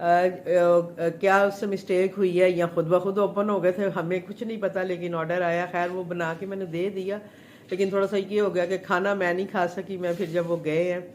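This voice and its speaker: A woman's voice: fairly low pitch, a little mellow. A middle-aged woman talks on a live stream from her home kitchen. Her throat is a little sore. She rambles with plenty of fillers, and there is a faint hum of room noise behind her. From Pakistan. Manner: chatty, rambling, many fillers. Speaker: home chef. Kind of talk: casual live chat.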